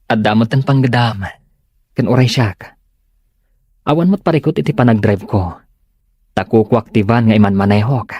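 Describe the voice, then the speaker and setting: A man's voice: medium pitch, normal speed, long pauses, a little mellow, no echo, lightly acted. A man playing a sincere, determined young suitor in an audio drama. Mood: earnest, determined. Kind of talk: Ilocano audio drama acting.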